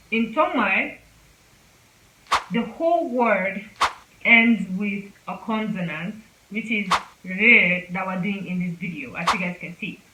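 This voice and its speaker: A woman's voice: medium pitch, bright, forceful tone, echoey room, slight background noise, rough recording. A woman hosting her own channel, giving a casual lesson on pronunciation and repeating sounds for practice. Korean is not her first language. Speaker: language teacher, channel host.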